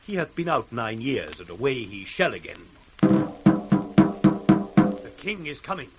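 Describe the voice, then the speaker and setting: A man's voice: medium pitch, very animated, slow, loud music behind the voice. An actor playing a bawdy, worldly older British nobleman in a jocular, courtly manner for 1960s radio Shakespeare. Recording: faint hiss, old radio recording.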